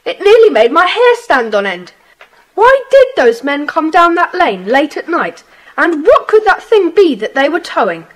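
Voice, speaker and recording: A woman playing an earnest, adventurous British schoolboy in a children's audio drama, speaking in an animated way. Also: very high voice, moderate pace, low-quality recording. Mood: earnest, intrigued. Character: earnest, adventurous schoolboy telling his friends things.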